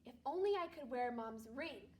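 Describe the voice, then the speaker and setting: An eager, anxious young girl from a Christmas storybook, played by a woman reading aloud, with a high voice and urgent manner. The microphone sits a little way off. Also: lively intonation, moderate pace, far from the mic. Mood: wishful.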